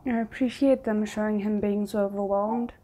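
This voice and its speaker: A woman giving casual reaction commentary, thinking aloud in an expressive way. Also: medium pitch, normal speed, poor audio. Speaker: reaction video host.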